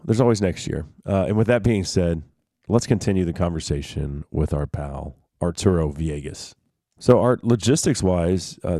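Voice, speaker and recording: A deep, dark-toned man with a drawl hosts an outdoor radio show. He talks in a relaxed, chatty way and slides easily between ad reads and conversation. The sound is close and dry. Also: dry recording. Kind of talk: casual radio chat and live ad reads.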